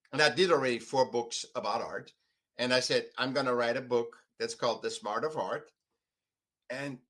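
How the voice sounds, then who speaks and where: An older man from Austria with an Austrian accent, telling his own story conversationally as a podcast guest in a halting, emphatic way. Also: mid-range voice, bright, forceful tone. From Vienna, Austria. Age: 50s or older. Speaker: podcast guest, artist and author.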